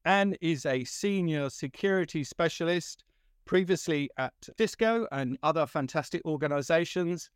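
A man in his fifties hosts a podcast in a friendly, rambling way, stumbling over restarts and chuckling. His voice sits a bit high for a man. The sound is dry and close. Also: lively intonation, normal speed, clean tone, dry recording. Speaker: podcast host. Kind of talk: podcast interview.

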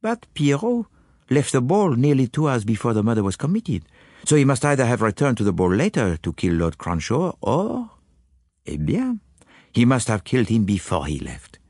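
Theatrical detective, swooping high voice. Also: male voice, wildly swooping pitch, darkish tone, no room sound, studio quality. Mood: explanatory, pleased. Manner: pompous, deliberate, probing. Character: theatrical, self-assured foreign male detective, courteous and exacting. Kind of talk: audiobook character voice.

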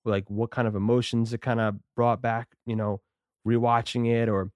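A man's voice: mid-range voice, flat delivery, moderate pace, a little mellow, clear, resonant tone, no room sound. A man in his thirties co-hosting a casual podcast, talking in a laid-back, rambling way with lots of fillers. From Connecticut, USA. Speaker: podcast co-host. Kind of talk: casual chat.

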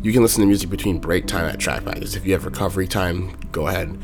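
Young man, raspy, monotone, sore throat, very deep voice, casual vlog rant. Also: fast, over music. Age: young adult. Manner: talks in loose runs with fillers. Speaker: vlogger.

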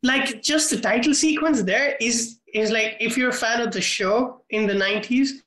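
A man's voice: extremely high pitch, normal speed, bright, forceful tone, reverberant. A man co-hosting a film podcast, rambling through casual chat with lots of filler words. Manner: rambling, many "like" fillers, laughs often. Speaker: podcast co-host.

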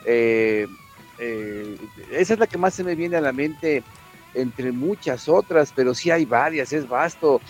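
A man's voice: mid-range voice, measured pace, faint music behind. A man with a Mexican accent co-hosting a radio show, speaking reflectively in a hesitant, wordy and somewhat formal way.

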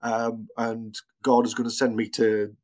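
A man's voice: medium pitch, flat delivery, measured pace, a little mellow. A podcast host in his fifties with an ordinary mid-range voice. He speaks casually and halts often, filling the gaps with small fillers as he frames his questions. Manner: friendly, hesitant questioning with fillers. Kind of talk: casual interview chat.

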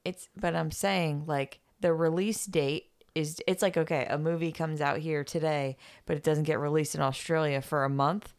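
A woman co-hosting a podcast in casual chat, with a gravelly voice and a drowsy, yawning manner. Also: deep voice, steady tone, moderate pace, few pauses, dry recording, clean studio sound. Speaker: podcast co-host. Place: United States.

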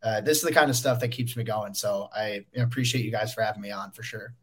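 Tired, slightly hoarse young man. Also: fairly low pitch, steady tone, fast talker. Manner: rambling, lots of fillers. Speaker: guest draft analyst. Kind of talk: casual podcast chat.

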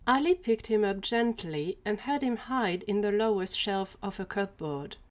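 Mid-pitched woman narrating a story. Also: animated, moderate pace. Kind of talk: scripted story narration for an audio drama.

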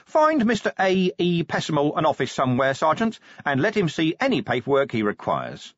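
A man's voice: medium pitch, sing-song, moderate pace, fairly bright tone, breathy edge, dry recording, highs cut off, pitched above the performer's own voice. A man voicing a weary, sardonic police commander in an audiobook, blunt, dry and impatient. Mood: forced joviality. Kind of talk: audiobook character acting.

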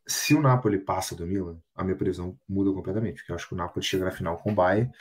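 A man's voice: sits low, racing, breathy edge. A Brazilian man co-hosting a podcast, chatting casually about football, joking and swearing a lot. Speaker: podcast co-host.

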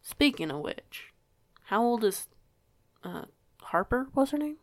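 A woman's voice: a little high, sing-song, measured pace, dry recording, heavily acted. A woman playing a curious, stubborn teenage werewolf girl in an audio roleplay, with a chatty, rambling, self-correcting way of talking. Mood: curious, impressed. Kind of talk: audio roleplay acting, casual conversation.

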